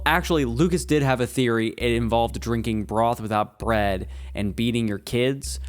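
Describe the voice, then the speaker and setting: A man's voice: medium pitch, close to an everyday voice. An actor playing a nervous young male agent who stammers and hesitates through a report. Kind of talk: audio drama acting.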